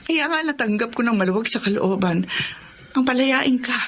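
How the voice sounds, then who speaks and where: A Filipino woman playing a hurt but resolute wife in a radio drama, speaking in a measured, sorrowful, firm way. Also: on the high side, lively intonation, a bit bright, slight background noise. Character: wounded but resolute wife and mother, weary.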